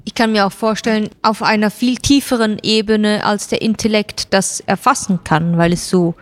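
A woman's voice: medium pitch, steady tone, moderate pace. Thoughtful woman in conversation. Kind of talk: casual reflective conversation.

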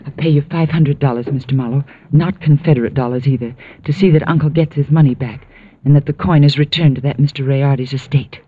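An actress playing an earnest, proud and spirited niece in an old-time radio drama, with an emphatic, proper way of talking. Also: female voice, rumbling low, steady tone, a little fast, a little hiss or hum. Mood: pleading. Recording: muffled vintage radio broadcast recording.

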